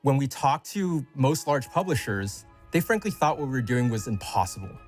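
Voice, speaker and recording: Man reading a scripted announcement, mid-pitched. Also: sing-song, moderate pace, faint music behind. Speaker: game company co-founder.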